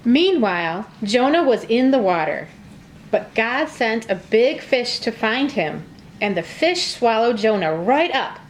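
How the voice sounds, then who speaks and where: High-voiced woman telling a children's story. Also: sing-song, unhurried, a little hiss or hum. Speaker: narrator of a children's church puppet show.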